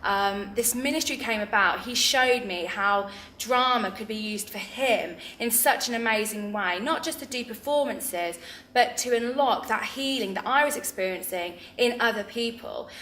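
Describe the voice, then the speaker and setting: A woman's voice: on the high side, moderate pace, fairly bright tone, a little hiss or hum. Earnest young English woman, roomy echo. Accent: English Midlands. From England. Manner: earnest, reflective, frequent ums. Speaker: founder of a drama ministry. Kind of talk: interview testimony.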